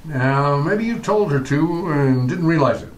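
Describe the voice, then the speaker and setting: Man playing a wry, animated lawyer pal. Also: on the high side, unhurried, poor audio. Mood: teasing. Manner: wry, teasing, reasoning. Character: wry lawyer neighbour, amused friend of the father. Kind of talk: old-time radio comedy acting.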